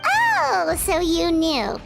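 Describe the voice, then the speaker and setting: A woman voicing a tiny, excitable, childlike fairy companion with a squeaky voice in an anime video game. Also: very slow, creaky, over loud music, full character voice. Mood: puzzled. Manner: excitable, speaks of self in third person.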